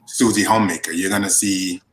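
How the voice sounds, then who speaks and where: A man's voice: sits low, fairly even intonation, a little fast. A man in his forties, a guest in a casual chat, talking frankly and animatedly about his life. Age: 40s.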